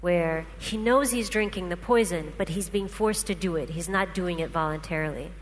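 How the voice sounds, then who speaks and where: A woman's voice: on the low side, normal speed. A woman, a native Russian speaker, explaining patiently with examples in a talk to a panel. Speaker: speaker presenting research. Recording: hall reverb, faint hum.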